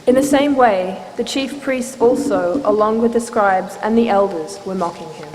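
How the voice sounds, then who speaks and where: A woman's voice: mid-range voice, darkish tone. Solemn woman narrator in a reverberant hall. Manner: measured, solemn storytelling. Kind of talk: scripted narration of scripture. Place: church, live reading. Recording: reverberant church hall, faint noise.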